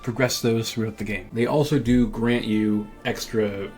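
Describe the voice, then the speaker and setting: A man's voice: fairly low pitch, steady tone, moderate pace, darkish tone, soft background music. A man giving casual gameplay commentary as host of a gaming video. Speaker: gameplay video host who voices characters.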